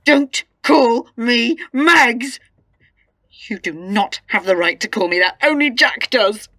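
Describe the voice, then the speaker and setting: An actress playing a distressed, suspicious wife in a radio drama, agitated and accusing, often dropping to a hushed mutter. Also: female voice, on the high side, animated, slow pace, no room sound, heavily acted. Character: distressed, suspicious wife convinced her husband is an impostor.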